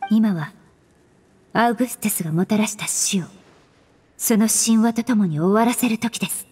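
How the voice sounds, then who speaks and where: A woman voicing a composed, polite young female investigator in an anime game, formal and analytical, with a soft breathy voice. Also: mid-range voice, normal speed, faint music behind, close to an everyday voice. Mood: composed, resolute.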